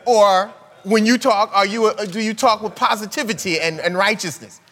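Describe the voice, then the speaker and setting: A man delivers a sermon in a relaxed, conversational manner, stacking rhetorical questions. His voice sits a little high for a man. The recording carries a long hall reverb. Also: moderate pace. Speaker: preacher.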